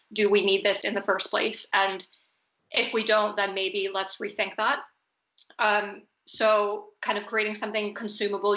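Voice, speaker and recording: A young woman designer talks in a level, even voice. Her tone is bright and crisp, and her words echo in a roomy space. She pauses often and restarts her thoughts. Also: mid-range voice. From United States. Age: 20s. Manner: thoughtful, hesitant, many fillers and restarts. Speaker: fashion designer. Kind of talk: recorded video discussion.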